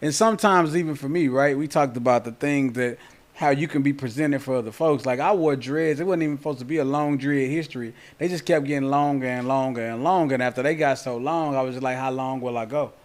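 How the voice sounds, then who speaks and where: Casual man of forty, mid-pitched voice. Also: a little fast. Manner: informal, conversational, repeats "you know what I'm saying". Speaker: podcast guest, cornhole player. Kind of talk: casual podcast chat.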